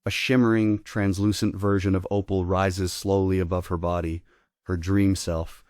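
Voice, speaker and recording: A man's voice: deep voice, level tone, moderate pace, a little mellow, no room sound, clean studio sound. A man giving scripted narration, reading out the stage directions of a play.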